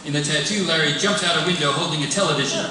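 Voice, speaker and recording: A man's voice: on the high side, very fast. A man narrating scripted stage directions at a live table read. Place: large live event room. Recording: echoey hall, distant mic, loud room noise.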